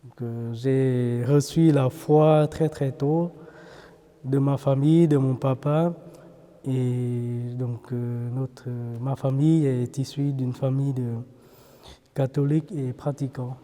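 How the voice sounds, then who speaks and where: Monk from Vietnam, halting and slow, very mellow tone, long reverb around him. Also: male voice, medium pitch, fairly even intonation. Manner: hesitant, many fillers and restarts. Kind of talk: documentary interview.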